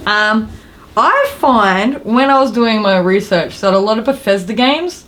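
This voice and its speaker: A woman's voice: fairly high pitch, expressive, a little slow, a bit bright, slight background noise. A woman co-hosting a gaming show in casual chat, with an informal, slangy way of talking. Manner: informal, slangy, mildly sweary. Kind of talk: casual chat about games and films.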